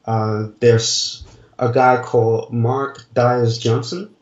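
A man in his forties speaks in a slow, halting way, often stopping to find the right word. His voice comes through a distant, roomy remote connection. The audio is dull and muffled. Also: mid-range voice, fairly even intonation. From Denmark. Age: 40s. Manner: slow, halting, searching for words. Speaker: dancer guest. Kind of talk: casual interview answers.

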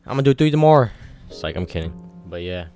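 A man's voice: sits low, very animated, fast talker, mellow, soft background music. A twenty-one-year-old man hosting a solo podcast, rambling casually with lots of swearing and pep-talk energy. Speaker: podcast host.

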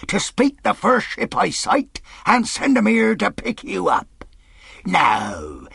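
A man voicing a menacing, wrathful pirate leader in an audiobook, rough and growling, speaking in rustic sailor dialect. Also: fairly high pitch, fairly bright tone, no room sound, clean studio sound, voice pushed up, heavily acted. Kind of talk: audiobook character acting.

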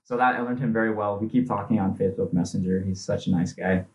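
A young man in his twenties talking casually as an interview guest, chatty and quick to laugh. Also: fairly low pitch, fairly even intonation, darkish tone, lo-fi sound. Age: 20s. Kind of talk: casual conversation.

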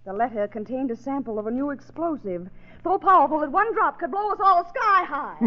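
High, quick damsel in distress. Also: female voice, lively intonation. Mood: urgent. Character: melodramatic, scatterbrained young lady client in distress. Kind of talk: old-time radio comedy acting. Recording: dull, faintly hissy old radio recording.

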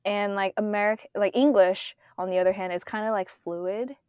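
A woman's voice: medium pitch, steady tone, normal speed, darkish tone, clean tone, dry recording, clean studio sound. A young woman from Korea co-hosting a podcast in casual chat, talking with many fillers and frequent laughs. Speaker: podcast co-host.

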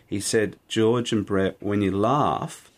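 A man's voice: lowish voice, steady tone, slow. A man co-hosting an unscripted podcast in a studio, rambling and correcting himself, with frequent laughs. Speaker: podcast co-host. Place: small recording studio. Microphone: studio microphone.

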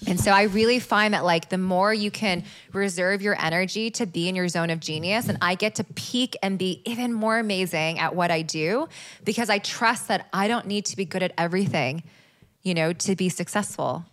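A woman with a slightly low voice talks earnestly on a podcast. She keeps things flowing with frequent "like"s and "right?" as she makes her point. Her tone stays conversational throughout. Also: normal speed, fairly bright tone. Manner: earnest, fluent, many "like"s and "right?". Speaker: podcast guest, healer. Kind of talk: casual podcast chat.

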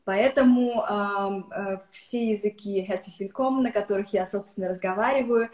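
A woman whose first language is Russian, a therapist-coach answering interview questions with halting speech and many filler sounds over a video call. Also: medium pitch, a little mellow, reverberant, poor audio.

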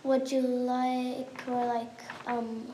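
A woman's voice: on the high side, flat delivery, very slow, roomy sound, background noise. A woman interviewer asking questions hesitantly, with many ums.

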